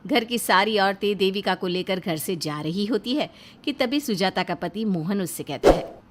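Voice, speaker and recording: A woman narrates a cartoon story in a scripted, dramatic style. Her voice is average in pitch, set out as clear storytelling between dialogue. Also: animated, moderate pace.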